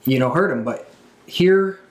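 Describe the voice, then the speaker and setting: A man's voice: on the high side, lively intonation, a little slow. A man hosting a casual wrestling talk show, speaking as an enthusiastic fan. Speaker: podcast host. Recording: echoey room.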